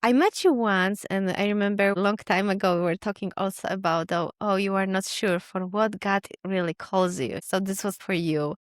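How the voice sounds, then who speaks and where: A woman's voice: mid-range voice, moderate pace, few pauses, dry recording, studio quality. A woman hosting a faith podcast, asking questions and encouraging her guest in casual conversation. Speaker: podcast host. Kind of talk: casual interview chat.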